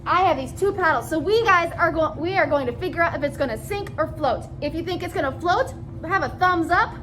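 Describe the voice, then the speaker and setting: Really high voiced lady doing kids science demo, upbeat, noisy background. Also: a little fast. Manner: upbeat, encouraging, explains each step simply. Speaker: children's science demonstrator and co-host. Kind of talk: informal children's presenting.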